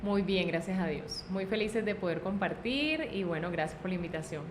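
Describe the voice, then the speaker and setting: A woman's voice: fairly low pitch, animated, normal speed. Cheerful young woman with coastal Colombian accent. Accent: Colombian Caribbean coast. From born in Antioquia, raised in Barranquilla, Colombia. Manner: cheerful, laughs easily. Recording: audible background noise.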